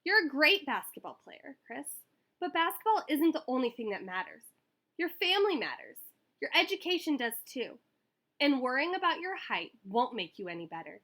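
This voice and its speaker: A reassuring storybook mother, as a woman reader plays her, loving and firm. The voice is bright and fairly high, rising and falling in a lively way. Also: moderate pace, heavily acted.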